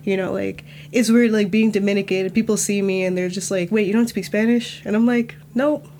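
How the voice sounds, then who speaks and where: A woman's voice: medium pitch, brisk, a little hiss or hum. Young woman chatting, filler-heavy. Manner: relaxed, many "like" and "you know" fillers. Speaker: student guest. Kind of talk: casual podcast conversation.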